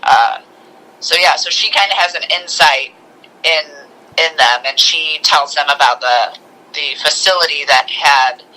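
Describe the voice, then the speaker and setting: Very bright-voiced co-host in animated casual chat. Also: mid-range voice, poor audio. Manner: acts out quoted dialogue with excited slang. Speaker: podcast co-host reviewing films.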